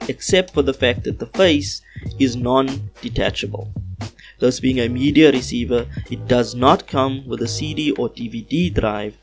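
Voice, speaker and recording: A man reading a scripted product unboxing voiceover in a measured, instructional way, recorded on a small external car-radio microphone. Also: mid-range voice, gravelly. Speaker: host of a car audio channel. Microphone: small external car-radio microphone, cleaned-up voiceover.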